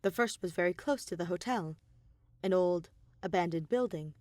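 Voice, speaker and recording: A woman reading scripted fiction as an audiobook narrator, with expressive, deliberate storytelling. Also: on the low side, no room sound. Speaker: podfic narrator.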